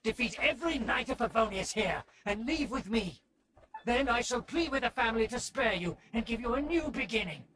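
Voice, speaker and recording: A man's voice: extremely high pitch, lively intonation, fairly quick, a bit bright, slight background noise. An actor playing a haughty middle-aged nobleman in a video game, a scheming uncle with a pompous, formal, theatrical manner. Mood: stern, imperious. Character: haughty aristocratic uncle scheming to restore his clan's rule.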